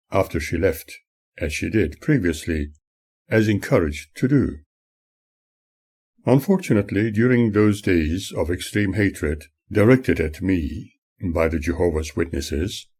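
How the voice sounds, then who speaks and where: An older man reads his memoir in a measured, earnest tone. His voice sits low and dark, with a husky grain to it. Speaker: author reading his own memoir. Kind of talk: memoir reading.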